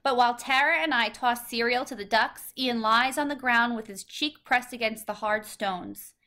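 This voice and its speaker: A woman with a bright, fairly high voice reads a children's storybook aloud. Her narration is scripted and expressive, each phrase set out clearly for young listeners. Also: normal speed. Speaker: storybook reader.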